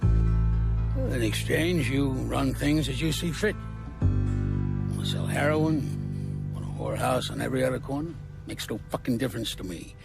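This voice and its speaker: An actor playing an old, calm, menacing mafia boss, measured and paternal, with profane talk, in a cinematic game. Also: male voice, medium pitch, gravelly, over loud music. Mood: cool bargaining.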